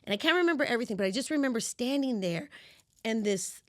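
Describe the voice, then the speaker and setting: A woman's voice: on the high side, quick pace, no room sound, studio quality. A woman coach raised in the US by Cuban parents, with Spanish as her first language, telling her story in a casual interview and acting out her past reactions. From United States, of Cuban parents. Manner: animated storyteller, acts out her own past voice. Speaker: guest, women's empowerment coach.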